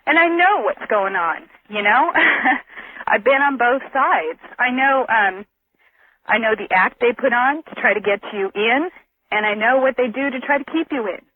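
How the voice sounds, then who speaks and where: A woman's voice: fairly high pitch, animated, moderate pace. A woman calling a radio show from a phone outdoors, talking casually and earnestly with a giggly edge. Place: outdoors, maybe at a phone booth. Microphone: telephone. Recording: tinny outdoor payphone line on radio.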